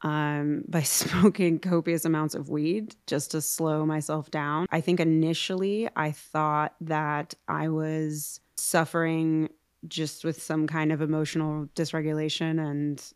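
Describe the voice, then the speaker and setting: A woman in her thirties, a podcast guest, telling her own story in a reflective, halting way with small laughs. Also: deep voice, level tone, a little mellow, clear, resonant tone, no echo, studio quality. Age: 30s. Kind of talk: candid personal storytelling.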